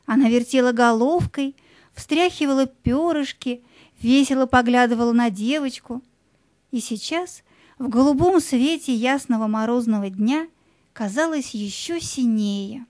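A woman narrating a scripted fairy tale as an expressive storyteller. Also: fairly high pitch, unhurried, no echo.

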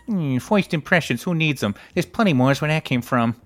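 A man voicing a gruff, muttering cartoon sailor with folksy, garbled grammar and a skeptical temper. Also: a little high, lively intonation, normal speed, fairly bright tone, soft background music. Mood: grumbling.

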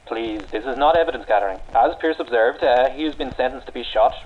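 A man's voice: mid-range voice, brisk, phone-quality sound, close to an everyday voice. A man playing a nervous English historian. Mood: earnest. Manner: formal, placating, hesitant. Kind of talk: radio drama acting.

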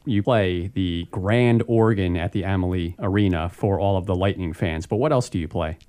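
A man's voice: deep voice, moderate pace, warm tone. A man, a deacon, hosting a faith radio interview with a jokey, friendly way of asking questions.

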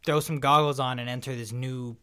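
A man's voice: medium pitch, steady tone, brisk, bright, forceful tone, clean tone. A young man co-hosting a podcast, chatting casually and rambling, with many "likes" and restarts. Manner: rambling, heavy use of "like", frequent restarts. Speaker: podcast co-host. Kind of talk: casual chat.

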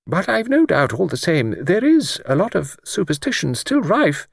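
A man voicing a mild, absent-minded, courteous old village vicar who speaks gently and hesitantly, repeating himself. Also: high-pitched, very animated, normal speed, vocal fry, no room sound, studio quality, pitched above the performer's own voice, exaggerated voice. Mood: gentle, musing. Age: older.

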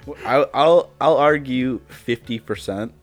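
A man co-hosting a casual podcast, dropping dry, deadpan asides. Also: medium pitch, unhurried, faint music behind. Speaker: podcast co-host.

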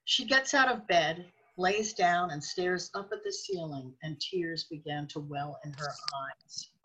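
A woman's voice: lowish voice, a little slow, sharp tone, breathy edge, rough recording. A woman narrating a scripted radio drama.

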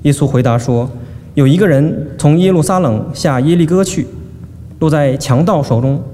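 Scripture reader, male, steady deliberate delivery, warm tone, long hall echo. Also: on the high side. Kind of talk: reading scripture aloud. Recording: long hall reverb, faint room noise.

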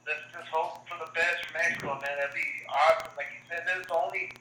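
A man chatting casually as a podcast guest, halting and full of fillers. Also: a little high, fairly even intonation, pushed and bright, a little hiss or hum. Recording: echoey room.

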